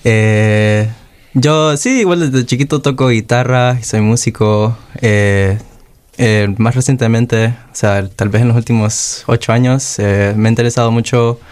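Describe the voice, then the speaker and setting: A man's voice: medium pitch, fairly even intonation, measured pace, darkish tone, clear, resonant tone. A man from Honduras, a guest musician, chatting casually with frequent hesitations. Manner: hesitant, many fillers. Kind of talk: casual conversation.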